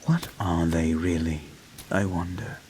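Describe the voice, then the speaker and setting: Man acting a very slow, deep, creepy hypnotist. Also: steady tone, a little hiss or hum. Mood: hushed, eerie. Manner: slow, hushed, deliberate, poetic, hypnotic. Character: creepy, obsessive male hypnotist therapist, tenderly menacing, unshakeable. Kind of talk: dark guided-meditation audio roleplay.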